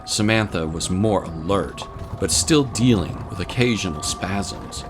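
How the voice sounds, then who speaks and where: A man's voice: deep voice, unhurried, noisy background. A man delivering scripted, dramatic narration of a story.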